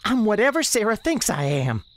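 A performer voicing an angry, defiant young girl with a Southern US accent and sharp, folksy speech, in an audio drama. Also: mid-range voice, very animated, normal speed, voice pushed up, close to an everyday voice.

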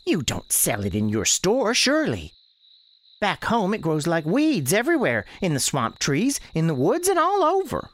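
A performer voicing an angry, defiant young girl with a Southern US accent and sharp, folksy speech, in an audio drama. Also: medium pitch, huge pitch swings, normal speed, dry recording, pitched above the performer's own voice.

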